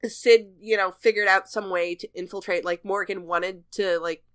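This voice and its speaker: A woman's voice: mid-range voice, fairly even intonation, normal speed, a bit bright, no echo, clean studio sound. A woman co-hosting a podcast, thinking aloud in casual, musing chat. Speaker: podcast co-host. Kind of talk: casual chat, TV episode discussion.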